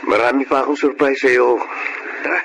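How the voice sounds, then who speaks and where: A male actor playing a sweet-talking, earnest young boyfriend in a radio drama, with expressive delivery. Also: medium pitch, normal speed, lightly acted. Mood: playful, affectionate. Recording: noisy radio drama audio.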